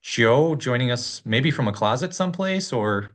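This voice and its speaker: A man's voice: medium pitch, lively intonation, moderate pace. A man with an Upper Midwest American accent co-hosting a school facilities webinar, talking in an upbeat, easygoing way. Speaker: co-host, school district facilities staff. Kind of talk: casual webinar talk.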